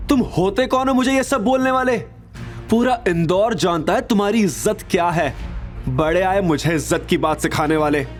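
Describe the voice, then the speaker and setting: A man's voice: high voice, moderate pace, soft background music, close to an everyday voice. A man playing a haughty, angry young character in an audio drama, indignant and sneering. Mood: furious. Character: haughty, angry, scornful young spouse or in-law. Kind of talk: Hindi audio drama acting.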